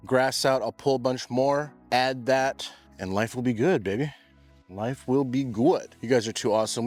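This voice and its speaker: A young man vlogging about his lawn in a casual, chatty way, full of slang like bro and dude. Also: mid-range voice. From Pacific Northwest. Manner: laid-back, chatty, slangy, says bro and dude a lot. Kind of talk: casual vlog talk to camera. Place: outdoors in a yard.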